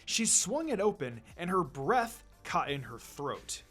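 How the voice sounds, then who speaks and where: A man narrates an adventure story in a slightly high voice with a very lively, varied delivery. He reads scripted prose dramatically, with music playing underneath. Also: unhurried. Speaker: fan fiction reader. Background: background music.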